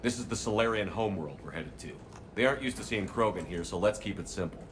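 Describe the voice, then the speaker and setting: An actor playing a steady human military commander in a video game, firm, measured and diplomatic. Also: male voice, on the low side, background noise, natural voice. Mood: calm, instructing.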